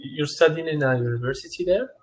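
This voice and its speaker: A man's voice: a little high, fast talker. Moroccan man speaking English on a call. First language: Arabic. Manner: friendly, searching for words, self-correcting. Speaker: English learner. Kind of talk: casual video-call conversation. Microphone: video call.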